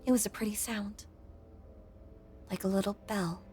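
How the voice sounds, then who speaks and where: A woman playing a lonely, possessive female ghost in an intimate audio drama monologue, hushed and coaxing, with genteel old-fashioned phrasing and a breathy voice that often sinks toward a whisper. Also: medium pitch, steady tone, normal speed, faint music behind, lightly acted. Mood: wistful.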